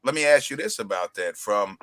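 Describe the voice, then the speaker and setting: A man's voice: fairly low pitch, lively intonation, fairly quick, fairly bright tone, no room sound. A man talking bluntly and with energy as a panelist in a casual live-stream discussion, using plenty of slang.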